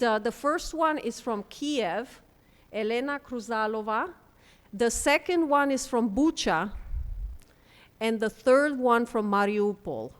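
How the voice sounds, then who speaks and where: A woman giving a conference talk, halting now and then with ums and restarts as she speaks. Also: on the high side. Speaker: panel speaker for a refugee housing group. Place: conference room. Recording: long hall reverb.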